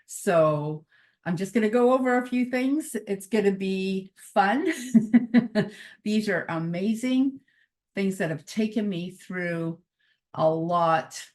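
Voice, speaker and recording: A woman's voice: mid-range voice, slow, darkish tone. A middle-aged woman, originally Croatian-speaking, giving an upbeat motivational talk as a mindset coach, with frequent laughs and emphatic repeated phrases. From Canada, born in Croatia.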